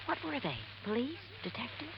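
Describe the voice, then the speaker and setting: Casting: sultry schemer, cold and needling, animated inflection, muffled noisy vintage sound. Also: female voice, high-pitched, brisk, vocal fry, exaggerated voice. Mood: conspiratorial. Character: sly, scheming, cold-blooded female murder accomplice, sultry. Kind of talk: 1950s radio drama acting.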